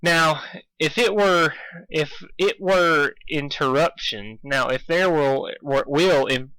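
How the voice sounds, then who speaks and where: A man's voice: fairly high pitch, slow, pushed and bright, clean tone, dry recording, low-quality recording. A man voicing a groggy, grumpy female country farm pony in a story reading, slurring with a folksy drawl. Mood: weary.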